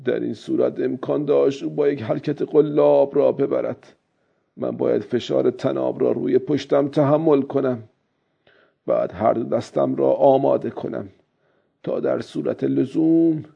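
A man's voice: medium pitch, steady tone, vocal fry, dark tone, husky, muffled recording, voice pushed up. A man voicing a stubborn, weary old fisherman who coaxes himself emphatically in a dramatic audiobook. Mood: pondering, resolute. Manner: exclaiming, self-coaxing, emphatic. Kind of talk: dramatic audiobook character acting.

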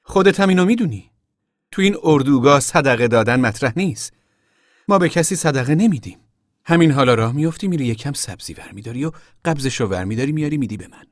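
A man voicing a stern, indignant committee woman in a story, sharp, scolding and commanding. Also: mid-range voice, big pitch swings, no echo, studio quality. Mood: angry.

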